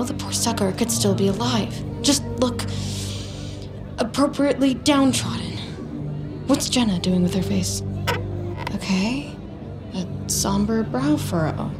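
A woman playing an anxious, scheming woman's inner monologue in an audio drama, frantically talking to herself. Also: fairly high pitch. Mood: nervous, self-coaching.

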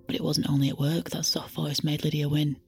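A woman's voice: deep voice, little pitch movement, brisk, vocal fry, a little mellow, husky, soft background music, full character voice. A woman as the composed, intimate narrator of a scripted audio fiction story. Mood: savouring.

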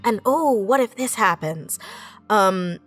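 A woman with a medium-pitched voice chats casually as a writer in an interview. She tells things in an animated way, voicing her own thoughts like little quotes. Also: takes it slowly, faint music behind. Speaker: audio drama writer. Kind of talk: casual conversational interview answers.

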